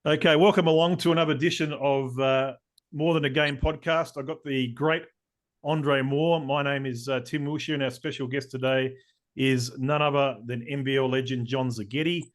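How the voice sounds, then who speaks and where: A man's voice: medium pitch, fairly even intonation, moderate pace. An Australian man hosting a podcast, speaking in a loose, hesitant way with many "uh"s. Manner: loose, hesitant, frequent "uh" and restarts. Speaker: podcast host.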